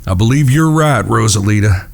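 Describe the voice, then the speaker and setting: Serious protective cowboy, earnest, audio drama. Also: male voice, on the low side, animated, normal speed, vocal fry. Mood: resigned, gentle. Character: grave, protective cowboy outlaw, eldest-brother type. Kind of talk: western audio drama acting.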